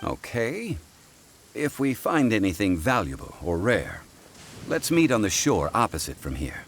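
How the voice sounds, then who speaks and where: An actor playing a calm, learned gentleman in a video game, speaking in a measured, formal, erudite way. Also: on the low side, slight background noise. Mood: calm, scholarly. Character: calm learned gentleman scholar, adult man, composed and formal.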